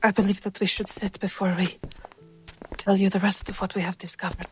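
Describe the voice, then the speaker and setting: Woman playing a formal, even-toned royal retainer. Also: mid-range voice, a little fast, soft background music, natural voice. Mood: formal concern. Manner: formal, decorous. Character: formal, courtly, devoted female royal retainer. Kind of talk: fantasy roleplay dialogue.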